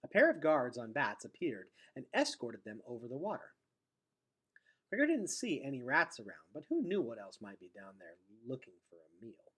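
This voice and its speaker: A man reading a storybook aloud expressively, with dramatic delivery that drops into hushed, breathy tones. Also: mid-range voice, huge pitch swings, moderate pace, rough recording. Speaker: teacher reading aloud to students.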